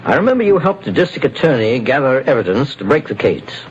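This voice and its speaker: An actor playing a calm, authoritative private investigator in a 1940s radio drama. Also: male voice, medium pitch, husky. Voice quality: measured, authoritative. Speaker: detective lead. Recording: dull lo-fi old radio recording, faint hiss.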